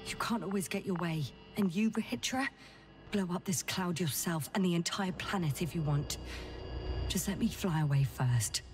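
A woman acting a measured, analytical woman scientist. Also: fairly low pitch, soft background music, lightly acted. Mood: firm, weary. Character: calm, analytical woman scientist on a space mission. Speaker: game character, doctor. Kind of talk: dramatic video game dialogue.

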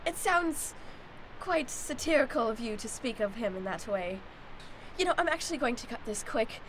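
A suspicious, easily appalled lady of the manor, played by a woman, speaks in a high, swooping voice. She is formal and melodramatic in old-time radio style, with faint noise behind. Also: expressive, normal speed, lightly acted, low-quality recording. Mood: stern, exasperated. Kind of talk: improvised old-time radio play acting.

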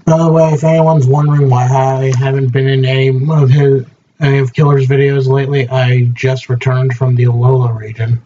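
A man's voice: mid-range voice, flat delivery, normal speed, dark tone, lo-fi sound, a little hiss or hum. A man chiming in with dry, sarcastic asides while a friend plays a game. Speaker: co-host friend. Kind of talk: casual chat.

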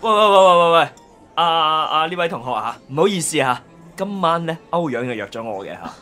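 A man's voice: a little high, very animated, fairly quick, sharp tone, soft background music. A man with a Hong Kong accent playing a cocky, goofy young amateur rapper in a radio drama, blustering and insistent. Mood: indignant. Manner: blustering, insistent, repeats himself. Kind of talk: Cantonese radio drama acting.

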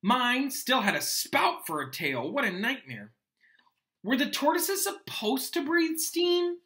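Storybook exam-worried student acted by a man, pitched up and bright, fretful, drawing out the words. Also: sing-song, slow, heavily acted. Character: fretting teenage wizard students after an exam.

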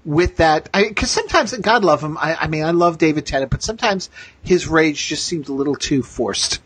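A man co-hosting a casual pop-culture discussion with an enthusiastic, halting fan's delivery. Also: a little high, fairly bright tone, husky, dry recording. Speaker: podcast co-host.

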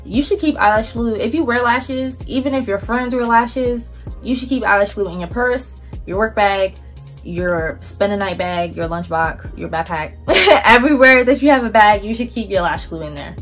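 A woman's voice: fairly high pitch, lively intonation, moderate pace, faint music behind. A young woman, chatting to camera as a vlogger in a casual, slangy, blunt way with swearing.

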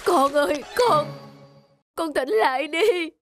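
A woman's voice: high voice, expressive, slow pace, soft background music, exaggerated voice. A woman voicing a distraught old peasant mother in a cartoon fairy tale, pleading and anguished.

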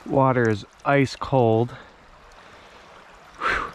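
Young male fishing vlogger, mid-pitch, casual, action-cam sound with faint creek noise. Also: drawn-out words. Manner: excited, breathless while landing a fish. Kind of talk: casual outdoor vlog commentary. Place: outdoors by a creek in winter. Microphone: action camera, chest and arm's length. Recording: faint stream noise outdoors. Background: flowing stream water.

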